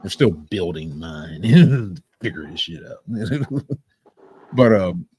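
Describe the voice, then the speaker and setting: A man's voice: sits low, animated, slow pace, dark tone, slight background noise. A man hosting a livestream with casual reaction commentary, mocking, incredulous and profane in his delivery. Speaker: livestream host.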